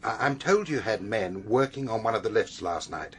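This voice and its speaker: An actor playing a middle-aged police superintendent in a radio drama, brisk, official and mildly impatient. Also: male voice, lowish voice, lively intonation, a bit bright, a little hiss or hum. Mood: brisk, probing.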